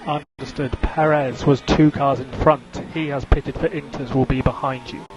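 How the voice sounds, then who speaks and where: A man's voice: mid-range voice, steady tone, normal speed, muffled recording. A man working as a race engineer, giving clipped, businesslike instructions over team radio and repeating key calls. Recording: very noisy radio link, engine noise. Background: vehicle noise.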